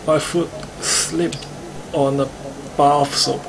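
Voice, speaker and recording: A man reading visual novel narration aloud on a live stream, haltingly and with stumbles. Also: on the high side, little pitch movement, a little hiss or hum, poor audio. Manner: halting, stumbling over the script.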